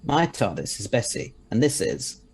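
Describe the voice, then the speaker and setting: A man's voice: medium pitch, big pitch swings, moderate pace, darkish tone, slight background noise. A man playing an eccentric, confident scientist time traveller in an audio drama, speaking articulately with a mildly superior air. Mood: confident, explanatory.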